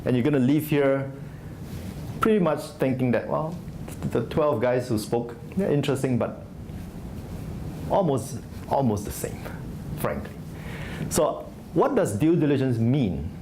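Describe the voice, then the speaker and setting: A man's voice: mid-range voice, expressive, a little slow, pauses often, background noise. A man from Singapore, an investor giving a conversational conference talk full of fillers and restarts. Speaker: venture investor speaking at a startup conference. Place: conference stage.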